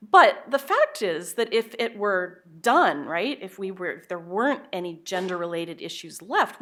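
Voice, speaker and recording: A woman in her fifties, a professor, giving a conference talk in a lively, conversational way with a loud voice at a podium microphone in a hall. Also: medium pitch. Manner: lively, conversational, self-aware. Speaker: keynote speaker, professor.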